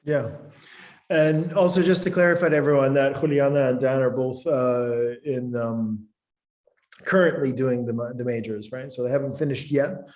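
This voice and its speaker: Middle-aged man from Canada, professor type, halting delivery, video-call audio. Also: medium pitch, fairly even intonation, darkish tone. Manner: hesitant, many ums and restarts. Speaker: professor and programme convener. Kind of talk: webinar presentation.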